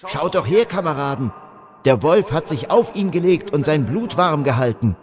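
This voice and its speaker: A man's voice: medium pitch, moderate pace, close to an everyday voice. A man voicing a shaken soldier, agitated and insistent. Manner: agitated, urging others to look.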